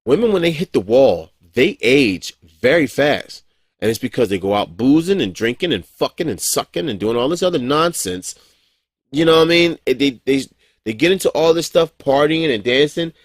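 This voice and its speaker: A man's voice: a little high, expressive, normal speed, dry recording. Guy in his 40s just rambling on his vlog, swears a lot, keeps restarting, chuckles here and there, dry close sound. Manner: blunt, profane, frequent chuckles and restarts. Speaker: vlogger. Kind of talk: casual rambling monologue.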